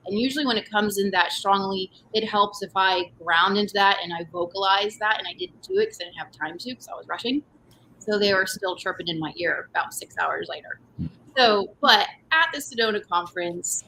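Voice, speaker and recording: A woman's voice: sharp tone, a little hiss or hum, poor audio. A woman speaking as a guest on a panel, talking loosely with many fillers and restarts about her healing work. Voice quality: voice says it has grown deeper. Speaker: panel guest, energy healer. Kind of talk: casual panel chat.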